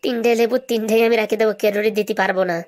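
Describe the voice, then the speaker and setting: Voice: mid-range voice, little pitch movement, racing, natural voice. A performer voicing a brazen, glib schoolboy in a comedy cartoon. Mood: cheeky, confident. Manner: glib, answers back with absurd confidence. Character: cheeky, brazen schoolboy with buck teeth.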